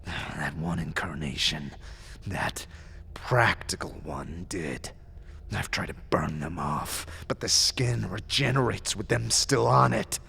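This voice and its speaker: A man's voice: sits low, slow, creaky, pushed and bright, a little hiss or hum, pitched below the performer's own voice, heavily acted. A man voicing a paranoid, mad earlier self of an immortal man in an audio drama, erratic between sly muttering and frantic outbursts. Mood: bitter, uneasy.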